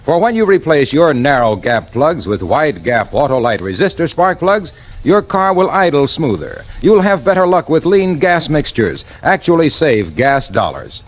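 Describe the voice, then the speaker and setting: An American man with a highish, very animated voice sells in folksy 1940s radio style. The vintage recording is dull and lo-fi. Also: moderate pace. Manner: folksy salesmanship. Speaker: commercial announcer. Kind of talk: peppy scripted 1940s radio advertising.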